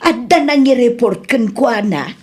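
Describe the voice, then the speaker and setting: A woman's voice: on the high side, lively intonation, measured pace, a little mellow, breathy edge. An actress with an Ilocano accent playing an everyday village woman in a radio comedy drama. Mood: concerned.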